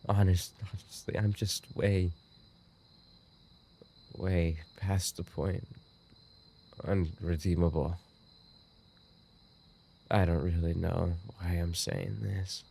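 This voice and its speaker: A man's voice: deep voice, little pitch movement, takes it slowly, creaky, long pauses, warm tone, a little hiss or hum, full character voice. A man playing a dying, obsessive and remorseful yandere roommate in an audio roleplay, speaking in weak, broken, repeated phrases. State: weakened, dying from an injury. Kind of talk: boyfriend ASMR audio roleplay acting.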